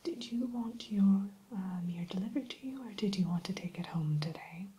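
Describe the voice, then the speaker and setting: A woman's voice: mid-range voice, natural voice. Whispering, genteel evil queen shopkeeper played by a woman. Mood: pleased, genteel. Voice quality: soft breathy whisper. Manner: polite, unhurried, primly formal with hesitant pauses. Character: genteel evil queen running an enchanted mirror shop. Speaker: shopkeeper character in ASMR roleplay. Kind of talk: whispered ASMR roleplay.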